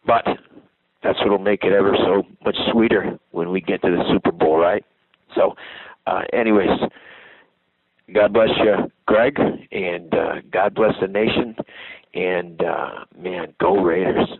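Caller: sick man, gravelly and very deep, earnest, over a tinny dry phone line. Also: a little slow, dry recording. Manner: earnest, heartfelt, many fillers. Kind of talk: phone-in call.

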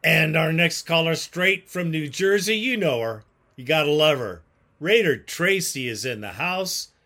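A man hosts a call-in sports show, folksy and emphatic as he leans into his points. His voice is bright and forceful, pitched a little on the high side. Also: lively intonation, measured pace, creaky. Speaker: radio show host.

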